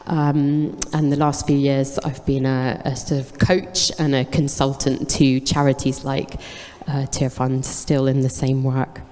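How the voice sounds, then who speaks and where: A woman's voice: a bass voice, flat delivery, unhurried, hardly any pauses, warm tone. A woman in her forties from England, giving a candid, reflective faith testimony on stage with frequent ums. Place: church hall. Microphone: handheld mic, cutting in and out. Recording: echoey church hall with long reverb.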